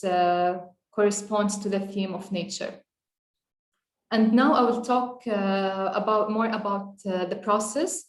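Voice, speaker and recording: A young woman explains her design piece over a video call, filling pauses with uh as she goes. Her voice sits at a middle pitch, and the room around her rings with echo. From Syria. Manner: hesitant, many uh fillers, measured explaining. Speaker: architect and past prize winner presenting her work. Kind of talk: presenting slides in a webinar.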